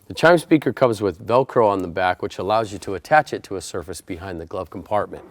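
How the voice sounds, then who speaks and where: Low-voiced man giving step-by-step instructions. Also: brisk, no echo. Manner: plain, methodical explaining. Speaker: installer presenting a how-to tutorial.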